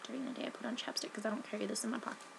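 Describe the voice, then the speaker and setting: A woman's voice: fairly high pitch, flat delivery, racing, vocal fry, slight background noise, low-quality recording. A teenage girl rambling hyperactively in a casual home webcam vlog, sniffly from a cold and jumping between topics. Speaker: vlogger.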